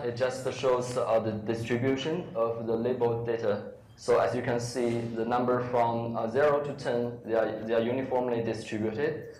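Halting man demoing in an echoey hall. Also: mid-range voice, darkish tone. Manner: halting, many fillers. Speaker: demo presenter. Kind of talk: live software demo at a meetup. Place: large lecture room with audience. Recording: echoey hall, faint room noise.

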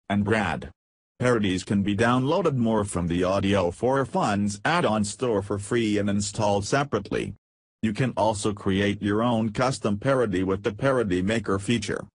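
Low, husky male tutorial narrator. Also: dry recording. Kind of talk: scripted instructional narration.

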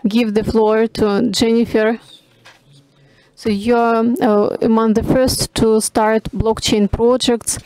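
A woman giving simultaneous interpretation of a panel discussion, halting, with many pauses and restarts. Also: on the high side, dark tone, no echo, poor audio. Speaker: conference interpreter.

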